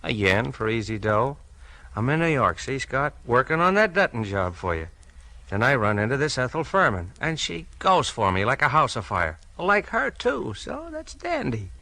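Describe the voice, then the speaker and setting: A man's voice: medium pitch, big pitch swings, normal speed, sharp tone. A man with an American accent acting a folksy, resigned crooked policeman in a 1940s radio crime drama, talking in rueful slang. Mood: rueful, confessing.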